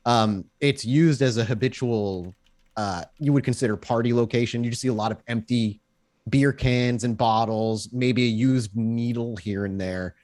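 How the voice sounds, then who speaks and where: A man's voice: medium pitch, fairly even intonation, normal speed, a little mellow. Male game master, mid-range voice, conversational, painting scenes and calling for dice rolls. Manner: descriptive, conversational. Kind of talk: improvised tabletop game narration.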